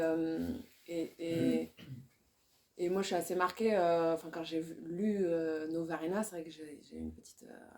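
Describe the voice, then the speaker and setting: A woman poet, talking in a relaxed discussion, often hesitating and restarting as she searches for words. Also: lowish voice. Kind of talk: casual discussion.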